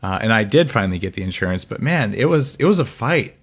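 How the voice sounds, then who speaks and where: A male podcast host talks with a guest in a loose, conversational way, full of fillers and restarts. His voice sits low and has a husky texture. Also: sing-song, fast. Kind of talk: podcast interview.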